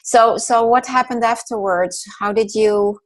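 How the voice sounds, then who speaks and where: A woman's voice: a little high, measured pace, rough recording. A woman hosting an interview show, reading an introduction and then encouraging her guest with short affirmations. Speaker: podcast host, mentor.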